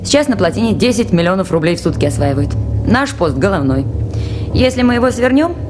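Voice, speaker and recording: An actress playing a resolute, earnest woman in charge of a river station in a radio play, speaking firmly and with measured authority. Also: medium pitch, sing-song, over music, close to an everyday voice. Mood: firm, insistent. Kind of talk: 1980s radio play acting. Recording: faintly noisy 1980s radio drama recording.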